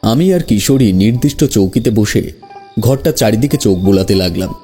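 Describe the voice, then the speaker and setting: Low, mellow male story narrator. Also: lively intonation, normal speed, slightly rough, soft background music. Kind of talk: scripted first-person horror story narration.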